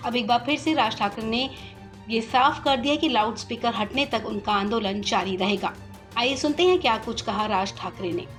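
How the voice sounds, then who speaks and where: Woman, news presenter, slightly high voice, scripted narration, background music. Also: normal speed, a bit bright.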